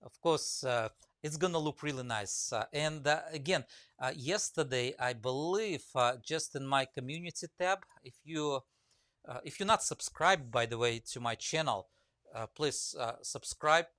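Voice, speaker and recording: A man's voice: mid-range voice, normal speed, sharp tone, no echo, clean studio sound. A man with a Russian accent chatting casually on a live stream, greeting viewers by name and rambling with lots of fillers. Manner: rambling, many fillers, reads comments aloud. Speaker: woodcarving teacher live-streaming.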